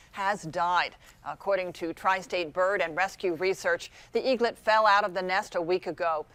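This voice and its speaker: A woman's voice: fairly low pitch, a bit bright. American woman anchoring morning news. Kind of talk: broadcast news reading.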